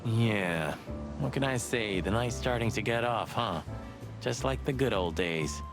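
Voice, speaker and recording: An actor playing a cocky, loyal rooster detective sidekick in a noir video game, with music underneath. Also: male voice, fairly low pitch, animated, a little slow. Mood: breezy.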